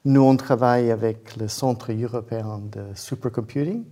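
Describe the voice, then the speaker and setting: A man with a foreign accent, a non-native speaker giving careful, halting explanations as an interview guest and searching for his words. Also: mid-range voice, fairly even intonation, dark tone. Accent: foreign accent in French. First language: not French. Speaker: guest, independent researcher and inventor.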